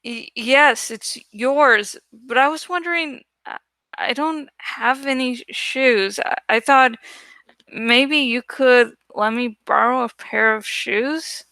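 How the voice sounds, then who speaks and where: Woman as a high-voiced, wary teenage girl. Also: slow pace, sharp tone, dry recording, full character voice. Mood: hesitant, polite. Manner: hesitant, stammering, careful. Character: barefoot teenage girl, polite but wary and determined. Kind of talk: audiobook character acting.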